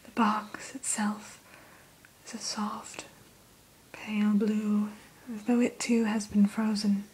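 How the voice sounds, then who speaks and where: A woman whispering softly and slowly into a close microphone, hosting an ASMR roleplay as a dragon hatchery keeper and telling the listener about each dragon. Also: medium pitch, flat delivery, low-quality recording. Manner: soft, slow unvoiced whisper; gentle, storytelling tone addressing the listener.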